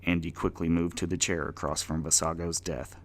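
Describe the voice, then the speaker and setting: A man's voice: low-pitched, flat delivery, a little fast. A man giving a dramatic scripted reading as the narrator of a darkly comic story.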